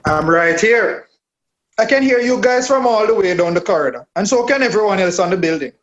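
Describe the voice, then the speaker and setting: A man's voice: sits high, lively intonation, a little fast, fairly bright tone, highs cut off. An actor playing a reasonable, peacemaking male pastor in a radio drama. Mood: calming.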